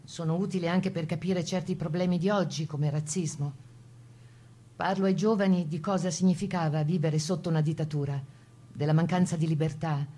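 A woman's voice: lowish voice, warm tone, close to an everyday voice. Actress as an earnest, resolute woman partisan veteran. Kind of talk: dramatized first-person testimony.

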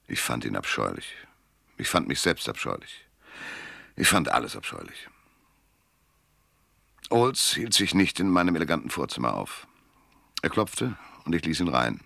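A man's voice: very deep voice, vocal fry, bright, forceful tone, no room sound, exaggerated voice. A man playing a weary, cynical private detective in a radio drama, narrating and talking in a laconic, dry, world-weary way. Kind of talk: first-person noir narration and dialogue in radio drama. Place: dry studio.

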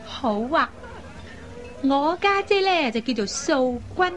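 A lively young woman in a radio drama. Her voice sits high and she talks in a chatty, coaxing way, headstrong and full of expressive particles. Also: slow, a little hiss or hum. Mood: eager, coaxing. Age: young adult. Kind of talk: Cantonese radio drama acting.

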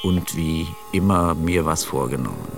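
A man's voice: a bass voice, animated, a little slow, vocal fry, background noise. A man reciting rhyming verse. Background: music.

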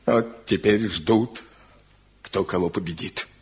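Low slow old village dad, wavering n grumbly, muffled old radio play. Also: male voice. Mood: evasive, grumbling. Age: older. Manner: hesitant, grumbling. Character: worried, wavering, crafty old village father. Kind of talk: 1970 radio drama acting.

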